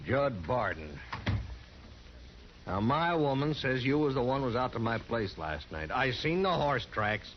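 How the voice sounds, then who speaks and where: An actor playing a jealous, threatening homesteader in a radio western, with rough rural frontier speech and a bullying manner. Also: male voice, medium pitch, very animated, unhurried. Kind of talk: 1950s radio western acting. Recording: muffled, hissy 1950s radio recording.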